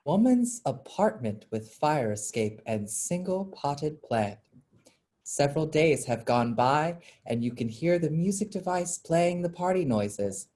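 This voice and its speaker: A woman's voice: low voice, lively intonation, unhurried, warm tone. A woman reading stage directions as the narrator of a staged play, in scripted narration.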